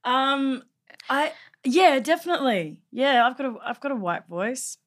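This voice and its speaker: A woman's voice: high voice, very animated, unhurried. A young Australian woman with an Australian accent, a trained actor chatting casually and laughing often. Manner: laughs often, grounded and reflective. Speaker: actor and podcast co-host. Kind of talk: casual chat.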